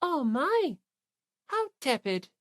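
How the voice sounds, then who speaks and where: A woman's voice: sits high, very animated, slow, pitched above the performer's own voice, heavily acted. A woman voicing a haughty, sadistic young lady in an audiobook, refined and imperious with a volatile temper. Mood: cool, refined. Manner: imperious, refined, snapping into screeching. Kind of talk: anime-style audiobook character acting.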